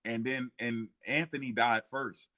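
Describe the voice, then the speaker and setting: A man chatting informally about history, thinking aloud in a halting way as he works out details. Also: mid-range voice, steady tone, pushed and bright. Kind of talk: casual history discussion.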